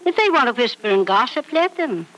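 A woman's voice: on the high side, sing-song, a little fast, a bit bright, poor audio. An actress playing a frank, doting, wealthy elderly mother in an old radio soap, speaking with emphatic, theatrical affection. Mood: brisk, defiant. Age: older. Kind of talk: 1940s radio soap opera acting. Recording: old radio drama recording.

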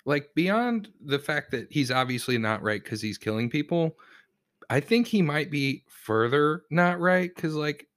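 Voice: medium pitch, expressive, unhurried, fairly bright tone. A podcast co-host chats in a mid-range voice. The talk is slangy and wry, with a casual joking style.

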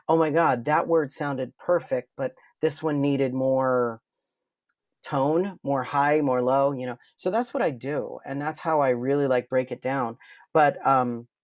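Male, mid-range pitch, dry recording, casual interview guest, explanatory, self-interrupting. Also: dry recording. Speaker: guest, voice impressionist and performer. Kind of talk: casual podcast interview chat.

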